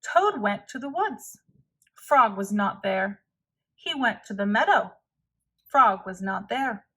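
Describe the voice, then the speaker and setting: A woman narrating a children's storybook aloud in a measured, clearly phrased way. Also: mid-range voice, expressive, fairly bright tone. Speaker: storybook reader.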